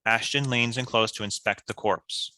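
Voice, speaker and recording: A man's voice: mid-range voice, fairly even intonation, normal speed, bright, forceful tone. A man reading stage directions as scripted narration for a screenplay.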